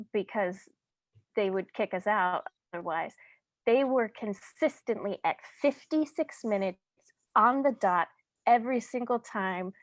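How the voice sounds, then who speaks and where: Female director as interview guest, dry recording, average pitch, conversational with halting phrasing. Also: dry recording. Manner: halting, pauses mid-sentence.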